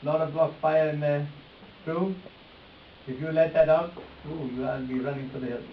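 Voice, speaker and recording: Echoey, higher-pitched man teaching a live demonstration. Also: steady tone, normal speed. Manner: hands-on, repeats himself, addresses volunteers directly. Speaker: face reading teacher. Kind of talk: live demonstration, explaining to volunteers. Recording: echoey, distant mic, dull sound with hiss.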